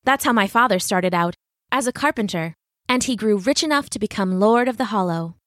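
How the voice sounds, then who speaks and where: A woman's voice: medium pitch, animated, fairly quick, a bit bright, no echo, studio quality, close to an everyday voice. A woman voicing a playful young lady of a wealthy house, genteel and teasing, in a storybook tale. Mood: warm admiration.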